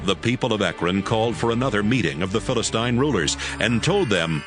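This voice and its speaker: A man's voice: on the low side, expressive, brisk, music in the background. A man narrating a dramatized audiobook as a scripted storyteller.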